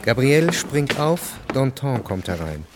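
A man reading scripted literary narration for a radio drama in a measured, composed way. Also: mid-range voice, big pitch swings, noisy background. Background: music.

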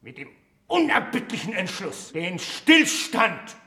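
An actor playing a stubborn, passionate scientist and teacher in a radio play, speaking in a deliberate, emphatic, declamatory way. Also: male voice, high-pitched, big pitch swings, bright, forceful tone, full character voice. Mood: fervent resolve. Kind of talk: German theatrical radio play acting. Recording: roomy, reverberant radio play recording.

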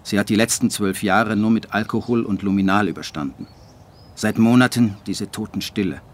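An actor playing a brooding postwar writer who narrates his life in a measured, dry, literary way for a radio drama. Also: fairly low pitch, close to an everyday voice. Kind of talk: scripted radio drama monologue.

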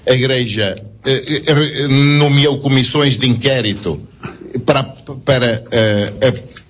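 A man's voice: medium pitch, takes it slowly, fairly bright tone. A man speaking up from the audience at a public debate, hesitant and repeating words as he builds his question. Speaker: audience member asking a question. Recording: dull lo-fi recording.

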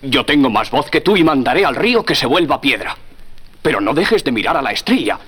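Husky, commanding storybook dog. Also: male voice, mid-range voice, very animated, brisk, a bit bright. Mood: earnest, instructing. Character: loyal, take-charge male shepherd's dog.

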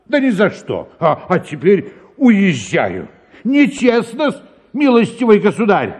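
Wildly swooping, high-pitched pushy father. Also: huge pitch swings, slow pace, darkish tone, husky, heavily acted. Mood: indignant, demanding. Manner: expansive, cajoling, exclamatory, repeats words. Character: pushy, wheedling father bent on marrying off his daughter. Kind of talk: theatrical radio performance of a classic Russian story.

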